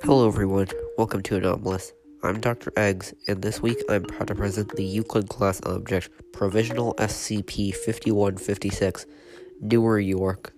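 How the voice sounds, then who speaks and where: A man hosting a podcast, stuffy from a cold, reading a written horror report in a steady, matter-of-fact way with a few hesitations. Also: fairly low pitch, normal speed, music in the background. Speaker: podcast host and narrator.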